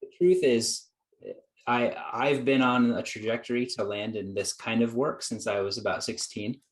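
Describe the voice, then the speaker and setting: A man's voice: mid-range voice, moderate pace. A man, a psychologist guest, telling his story in an earnest, reflective way, acting out his own past thoughts. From United States. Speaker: guest psychologist and productivity coach. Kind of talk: conversational storytelling.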